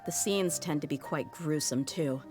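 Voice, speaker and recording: A woman's voice: low voice, moderate pace, music in the background, lightly acted. A woman voicing a tough young female mercenary in a game, talking in a frank, wry, matter-of-fact way. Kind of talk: video game cutscene dialogue.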